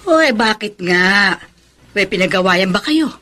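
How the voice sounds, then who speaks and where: Concerned middle-aged mother, probing, swooping pitch, Filipino radio drama. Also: lively intonation, measured pace, bright, forceful tone.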